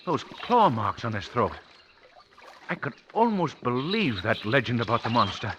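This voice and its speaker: An actor playing an inquisitive, well-spoken gentleman sleuth with an assured manner in a 1940s radio drama. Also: medium pitch, very animated, normal speed, natural voice. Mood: curious. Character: composed, inquisitive gentleman sleuth, bold adult man. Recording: noisy old radio recording.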